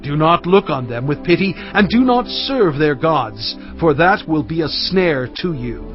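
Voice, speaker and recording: An actor playing a solemn, impassioned male prophet in a dramatised scripture reading, speaking with emphatic, oratorical, deliberate phrasing. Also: fairly high pitch, animated. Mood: fervent warning. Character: Moses, solemn, impassioned male prophet exhorting his people. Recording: dull, band-limited audio with music behind.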